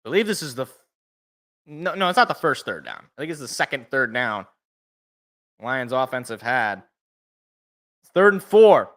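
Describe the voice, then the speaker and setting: A young man calling a football game play-by-play from a sports podcast, casual, with a slightly rough, sniffly voice from allergies. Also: mid-range voice, moderate pace, pauses often. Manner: excited calls, casual asides. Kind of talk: live play-by-play commentary.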